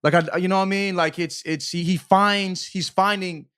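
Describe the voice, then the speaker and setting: A man's voice: sits high, fairly even intonation, moderate pace, fairly bright tone, clean tone. A man co-hosting a podcast, talking casually in slang, repeating himself emphatically and often checking that he is understood. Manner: emphatic, repetitive, checks in with "you know what I mean". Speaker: podcast co-host. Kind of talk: casual, slangy book discussion.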